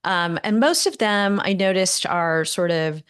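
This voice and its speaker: A woman's voice: on the low side, unhurried, clean tone. A middle-aged woman from Northern California hosting an interview, talking in long rambling turns full of fillers and restarts. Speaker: podcast host. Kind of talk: interview conversation.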